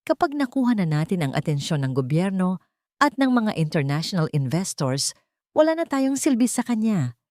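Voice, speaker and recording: A woman's voice: on the low side, sing-song, normal speed, darkish tone, dry recording. Female narrator as older villainess mother, callous schemer, drama serial, dry close sound. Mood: cold scheming.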